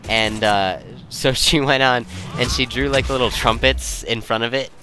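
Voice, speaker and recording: A man's voice: mid-range voice, fairly even intonation, moderate pace, bright, forceful tone, a little hiss or hum. A young man giving rambling, casual commentary in an easygoing, self-deprecating, digressive way. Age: young adult. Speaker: video creator and commentator.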